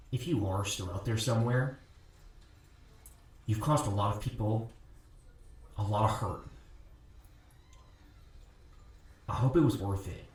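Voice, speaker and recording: A man playing a guarded, hurt 24-year-old college athlete in an audio drama interview, speaking casually and haltingly. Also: on the low side, a little mellow, natural voice. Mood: bitter, hurt. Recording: very echoey, distant from the microphone.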